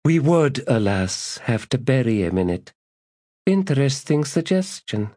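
A man's voice: mid-range voice, big pitch swings, unhurried, no echo, voice pushed up. Brief: man voicing a raised, clipped, severe priestess, dry audiobook sound. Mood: curt. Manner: sharp, curt, exasperated. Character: stern, exasperated female high priestess. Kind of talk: audiobook character voice.

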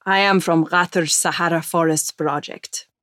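A woman's voice: on the low side, steady tone, normal speed, a bit bright, dry recording, clean studio sound. A woman representative reading out projects in a plain, matter-of-fact scripted way. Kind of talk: scripted roll-call reading.

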